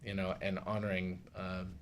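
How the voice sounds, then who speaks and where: A man in his thirties speaking thoughtfully and a little haltingly as a musician in a casual radio interview. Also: deep voice, completely flat delivery, a little hiss or hum. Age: 30s. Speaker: musician, tribute duo singer. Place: small radio studio, indoors. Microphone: studio mic on boom arm.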